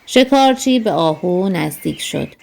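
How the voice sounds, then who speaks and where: A woman narrating a scripted children's story in an expressive, storytelling manner. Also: lowish voice, vocal fry.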